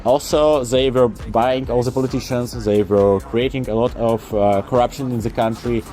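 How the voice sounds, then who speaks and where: A man's voice: medium pitch, fairly even intonation, normal speed, mellow, faint music behind. A young man from eastern Ukraine, a native Russian speaker with an Eastern European accent, explaining things casually and informally to camera. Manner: informal, uses slang and swearing. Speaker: vlogger.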